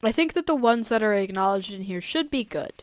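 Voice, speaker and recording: A woman's voice: mid-range voice, animated, brisk, no room sound, clean studio sound. A woman co-hosting a podcast with casual banter and a sardonic, irreverent way of talking. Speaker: podcast co-host.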